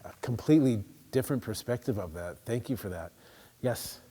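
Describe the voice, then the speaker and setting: A man's voice: mid-range voice, lively intonation, a little fast, dark tone, big-room sound, a little hiss or hum. A man leading a group discussion, affirming and paraphrasing what participants say. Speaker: discussion facilitator.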